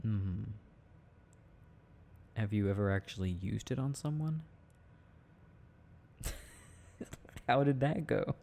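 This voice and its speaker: Gravelly, low-voiced young man, playful boyfriend. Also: expressive, mellow. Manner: giggly, playful, affectionate, halting. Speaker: boyfriend roleplay audio performer. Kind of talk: intimate ASMR boyfriend roleplay, casual improvised chat.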